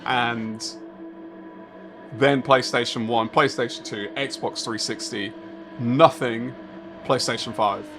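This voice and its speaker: A man, talking casually over a racing game as he streams. His speech wanders with fillers and easy laughter, pitched at an ordinary male middle. Soft music plays quietly behind him. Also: fairly even intonation, takes it slowly, long pauses. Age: 30s. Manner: chatty and rambling, laughs often, talks to chat. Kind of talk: casual live-stream chat.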